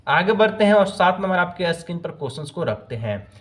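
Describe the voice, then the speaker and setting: A man's voice: high-pitched, very animated, a little fast, fairly bright tone. A man teaching exam questions, working through the answer options aloud and mixing in English phrases. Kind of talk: explaining multiple-choice answers.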